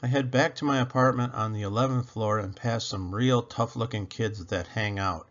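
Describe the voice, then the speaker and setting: A man reading a children's story aloud with expressive narration, as a storybook reader. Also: on the low side, moderate pace, highs cut off.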